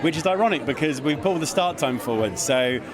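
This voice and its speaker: A man's voice: on the high side, expressive, brisk. A man, an awards show producer, talking in a casual interview, chatty with frequent restarts and laughs. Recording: busy crowd behind.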